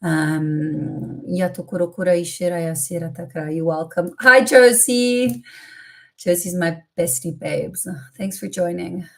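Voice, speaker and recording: A woman's voice: on the low side, unhurried, vocal fry. An Italian woman with a British-schooled English accent and a slight American twang, chatting casually on a spiritual livestream and chanting flowing syllables. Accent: British-educated English with a slight American twang. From Italy. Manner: chatty and relaxed, many fillers, chants flowing syllable strings. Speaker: spiritual channeler hosting a livestream.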